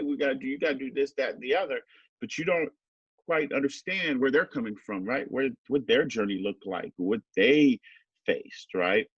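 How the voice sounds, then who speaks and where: A man's voice: medium pitch, breathy edge, no echo, dull audio. A middle-aged man from Haiti, a teacher answering student interview questions thoughtfully, with many fillers and a habit of adding "right?".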